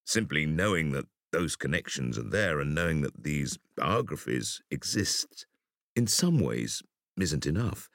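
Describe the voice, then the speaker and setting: A man reading a conversational essay for an audiobook in a deliberate, expressive storytelling manner. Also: rumbling low, creaky, no echo, clean studio sound. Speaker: audiobook reader.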